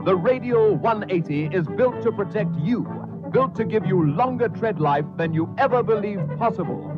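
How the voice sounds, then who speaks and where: Casting: male commercial announcer, high register, muffled old radio spot with clear music bed. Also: expressive, normal speed. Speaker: advertising announcer. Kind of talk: vintage radio commercial read.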